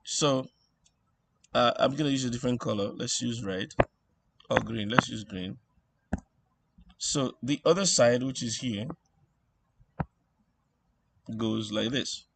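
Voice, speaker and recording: A man giving an informal electronics tutorial, thinking aloud in a halting way and repeating phrases as he works things out. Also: medium pitch, gravelly, a bit bright, a little hiss or hum. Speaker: instructor.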